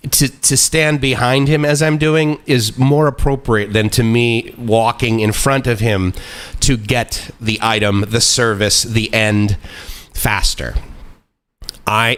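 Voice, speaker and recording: A man in his forties talks on his own live stream in a casual, meandering monologue. His pitch sits in the ordinary middle for a man. He wanders between thoughts with plenty of fillers and restarts, and often chuckles at himself. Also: fairly bright tone, clean tone. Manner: rambling, self-deprecating, chuckles often; loose, meandering with many fillers.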